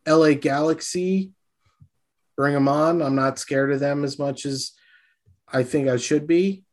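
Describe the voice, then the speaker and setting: Male co-host, mid pitch, earnest fan talk, rallying tone. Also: fairly even intonation, unhurried. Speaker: podcast co-host. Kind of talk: casual sports chat.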